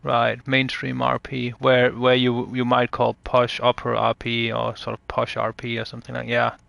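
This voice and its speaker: A man's voice: mid-range voice, monotone, moderate pace, vocal fry, a bit bright, no room sound. A man in a casual analytical discussion, speaking tentatively and thinking aloud as an interviewer. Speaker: interviewer researching game voices.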